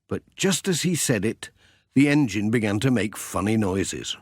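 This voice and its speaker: A man narrating a children's story as an audiobook reader, with expressive storytelling delivery. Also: lowish voice, normal speed, no echo, studio quality.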